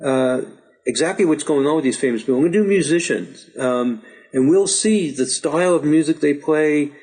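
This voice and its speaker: Hoarse middle-aged male teacher, patient and repetitive, voice sits a bit high. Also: animated, moderate pace, a little mellow, low-quality recording. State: slightly hoarse, losing his voice. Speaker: astrology teacher. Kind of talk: screen-share software tutorial.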